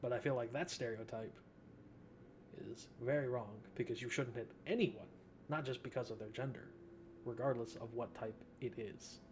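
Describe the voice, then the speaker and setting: A man's voice: mid-range voice, normal speed, darkish tone. A young man giving casual, joking gameplay commentary as he streams a video game. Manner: joking, offhand. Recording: noticeable background noise, game sound.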